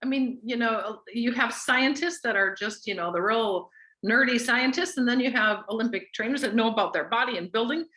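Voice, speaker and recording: A woman's voice: a little high, normal speed, sharp tone, rough recording. A woman casually hosting a group call, introducing things in an enthusiastic, gushing manner. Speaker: host of a weekly company group call.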